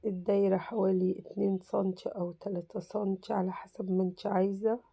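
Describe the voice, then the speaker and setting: A woman with an Egyptian Arabic accent, hoarse from a cold, casually talking through a simple craft tutorial and reassuring viewers that it is very easy. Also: mid-range voice, flat delivery, fast talker, dark tone, no echo. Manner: chatty, reassuring, repeats "very easy". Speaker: crafts channel host.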